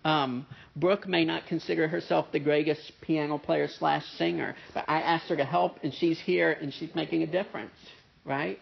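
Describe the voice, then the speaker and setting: Nasal, gravelly male preacher. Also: a little high, fairly even intonation, moderate pace. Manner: conversational preaching with frequent "right?" and "uh" fillers. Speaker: pastor. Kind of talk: sermon. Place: church service with a pianist present. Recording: dull, muffled sermon recording.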